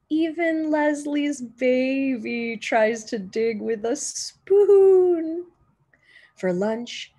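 Female storybook reader, teacher type: husky, slow, gentle and expressive. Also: medium pitch. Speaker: teacher reading a picture book aloud. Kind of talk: expressive children's storybook read-aloud.

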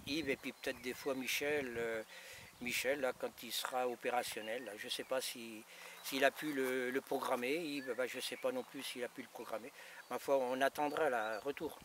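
A man in his fifties holds a relaxed, colloquial radio exchange outdoors, often repeating his phrases. His voice sits at a medium pitch. The camera audio is clearly noisy, with wind around it. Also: moderate pace. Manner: repetitive, colloquial. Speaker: amateur radio operator. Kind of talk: casual two-way radio chat. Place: outdoors by a gravel drive in a rural garden. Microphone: camera a metre or two away.

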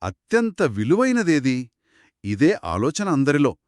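Animated male storyteller, close dry sound. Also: on the high side, measured pace, no echo. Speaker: co-narrator of a children's moral story. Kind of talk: conversational two-person storytelling.

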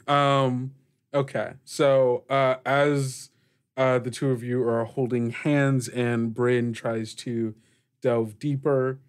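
A man running a tabletop roleplaying game as game master, explaining rules in a halting, conversational way. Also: medium pitch, flat delivery, smooth.

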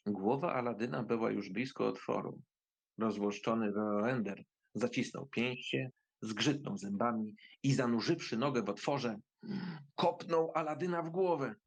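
Man telling a fairy tale, creaky raspy voice, dramatic scripted read. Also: medium pitch, a little slow. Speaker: narrator.

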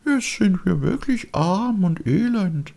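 Whiny old crook fox begging, storybook style, high howling voice. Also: male voice, animated, slow pace, vocal fry, warm tone, heavily acted. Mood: pleading. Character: wailing beggar fox, wheedling old crook.

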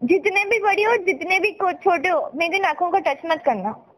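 A woman's voice: extremely high pitch, animated, moderate pace, slight background noise. A young woman with an Indian accent chatting casually with a playful, dramatic, pouty teasing manner. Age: young adult. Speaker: girlfriend on a phone call. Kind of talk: casual playful couple chat.

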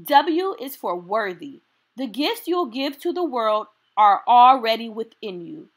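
Slow, lilting high-voiced woman reading aloud. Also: very animated. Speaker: storytime host reading aloud. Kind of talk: children's picture book read-aloud.

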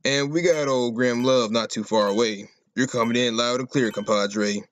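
A man's voice: medium pitch, normal speed, lightly acted. A man voicing a folksy, cocky schemer in a comedy cartoon, full of colourful slangy patter. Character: folksy, cocky schemer leading a bumbling duo.

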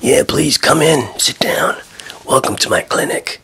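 A man whispering through a silly doctor-visit ASMR roleplay, talking softly and unvoiced into a close microphone. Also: mid-range voice, expressive, a little slow, gravelly, breathy edge.

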